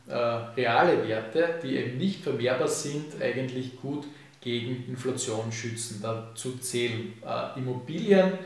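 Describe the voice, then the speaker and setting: A man, casually explaining finance to camera in a relaxed way, full of fillers. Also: medium pitch. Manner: relaxed, frequent fillers and "eben", hand gestures. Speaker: finance YouTuber. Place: home office with bookshelves, indoors. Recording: echoey room, distant mic.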